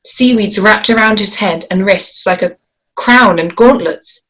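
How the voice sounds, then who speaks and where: A woman's voice: medium pitch, a little slow, fairly bright tone, poor audio. A woman reading stage directions as scripted narration at a table read. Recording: faint noise and clicks.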